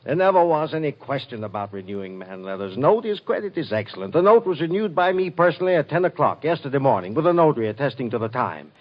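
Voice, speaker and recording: An actor playing a formal, curt and defiant bank president in a 1940s radio drama. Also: male voice, mid-range voice, very animated, fast talker, few pauses, natural voice. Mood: indignant. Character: stuffy, indignant male bank president. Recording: muffled old radio broadcast.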